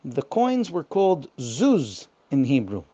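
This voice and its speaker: A woman's voice: extremely low pitch, very animated, takes it slowly, dark tone, dull audio. A woman telling a children's bedtime story in simple phrases, repeating words for emphasis.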